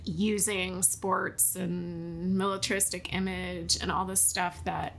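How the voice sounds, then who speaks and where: A woman pastor with an American accent speaks in a deep, loud voice that has a gravelly texture. She talks frankly and animatedly, as in a relaxed podcast chat. There is a faint hiss of background noise. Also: takes it slowly. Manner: frank, animated, laughs easily. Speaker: lead pastor. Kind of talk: casual podcast conversation.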